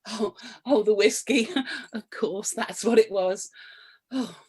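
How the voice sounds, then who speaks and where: A woman's voice: mid-range voice, takes it slowly, darkish tone, husky. An actress with a British accent playing a prim, flustered married woman, coy and curious, in a radio comedy. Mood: flustered, giggly. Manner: coy, flustered, innuendo-laden delivery with nervous chuckles. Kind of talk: radio play comedy acting, confessional monologue and banter.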